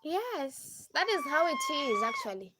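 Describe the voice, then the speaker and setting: A woman's voice: very high voice, huge pitch swings, unhurried, raised voice, heavy background noise. A woman from Kenya with a Kenyan accent, hosting a casual live chat, reading out viewer comments and repeating phrases for emphasis. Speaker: livestream host.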